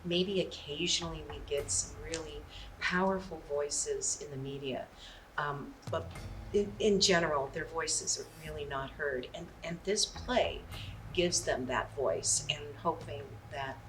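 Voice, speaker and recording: A woman's voice: deep voice, measured pace. A middle-aged woman giving reflective interview answers as a theatre director. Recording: distant mic, clearly noisy room.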